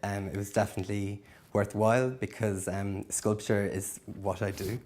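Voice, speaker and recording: Hesitant low-voiced sculpture student, man. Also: level tone, normal speed, darkish tone. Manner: hesitant, many ums. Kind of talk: documentary interview.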